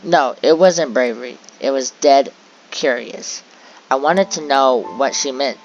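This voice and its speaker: A man reading a visual novel aloud as dramatic first-person narration, halting and stumbling a little over the text. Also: mid-range voice. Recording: dull lo-fi audio with music behind.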